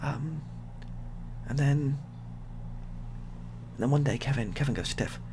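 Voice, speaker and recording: Softly spoken rambling man, noisy recording. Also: mid-range voice, a little slow. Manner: rambling, repetitive, deadpan dark humour. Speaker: ASMR storyteller. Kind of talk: softly spoken improvised storytelling, ASMR. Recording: clearly audible background noise.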